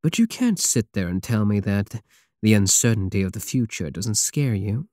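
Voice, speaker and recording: A man's voice: on the low side, animated, a little mellow, dry recording, clean studio sound, lightly acted. A man playing a big, teasing, possessive space pirate king in an intimate, sardonic ASMR roleplay. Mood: cocky, teasing. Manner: slow, teasing, purring endearments, sardonic.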